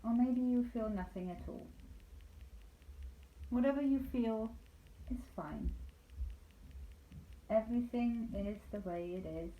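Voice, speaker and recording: A woman leading a guided meditation as a yoga nidra instructor, speaking calmly and soothingly with deliberate pauses. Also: fairly high pitch, narrow, tinny audio. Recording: roomy echo, light background noise.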